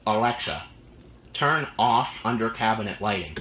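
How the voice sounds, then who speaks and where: A man's voice: fairly low pitch, expressive, normal speed, noisy background. A man giving an informal, matter-of-fact demonstration of equipment as a video host, recorded indoors at home.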